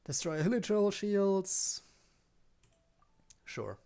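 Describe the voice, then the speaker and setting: A man's voice: sits high, very animated, drawn-out words, mellow, clean tone. A man streaming a video game, giving casual live commentary and thinking aloud as he plays. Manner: thinking aloud while playing.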